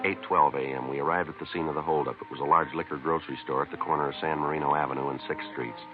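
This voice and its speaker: A man's voice: extremely low pitch, fairly even intonation, rapid-fire, over loud music. An American man playing a terse, businesslike police detective sergeant in a 1950s radio drama, clipped, understated and matter-of-fact. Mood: flat, factual. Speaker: lead actor and narrator. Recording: tinny, noisy 1950s radio recording.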